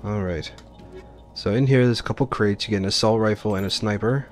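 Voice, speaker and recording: A man's voice: lowish voice, a little fast, over music. A man giving casual, explanatory let's play commentary on a video game. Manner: explanatory, informal.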